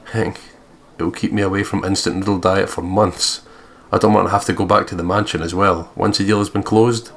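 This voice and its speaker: A man playing through a game, reading its text aloud and adding sweary, jokey commentary. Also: on the low side. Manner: sweary, jokey, giggly, puts on mock quotes. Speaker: let's play commentator.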